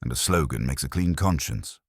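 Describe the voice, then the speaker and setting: A man's voice: extremely low pitch, animated, moderate pace, no room sound, clean studio sound, full character voice. A man voicing a stern, erudite detective who speaks in formal, precise, cutting sentences, in a mystery story. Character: stern, erudite male detective, disdainful and exacting.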